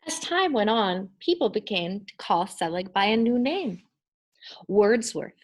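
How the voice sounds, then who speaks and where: Female storybook reader, middle pitch, unhurried and deliberate, expressive delivery with dramatic word emphasis.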